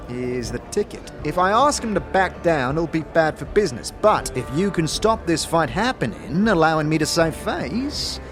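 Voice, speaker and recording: A man voicing an excitable innkeeper and showy salesman with rapid-fire, rhyming patter in a fantasy audio game. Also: fairly high pitch, voice pushed up, natural voice. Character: manic, fast-talking male innkeeper and showy salesman. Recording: music under the voice.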